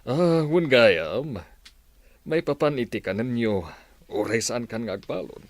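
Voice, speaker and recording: A man playing a kindly, fatherly older boss in an audio drama, speaking in a measured way. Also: mid-range voice, lively intonation, no echo. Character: kindly older male boss welcoming a new employee.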